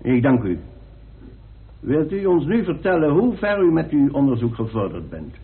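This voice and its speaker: A man playing a formal, dry-witted coroner in a radio drama, speaking in a measured, authoritative way. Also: on the high side, big pitch swings. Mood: stern, pointed. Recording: tinny band-limited old radio recording.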